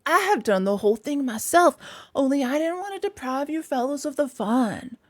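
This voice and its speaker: A woman voicing a self-assured, peevish male badger from a storybook, grumbling in an unhurried, emphatic, colloquial way. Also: high voice, animated, no room sound, studio quality, pitched above the performer's own voice. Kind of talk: storybook character voice.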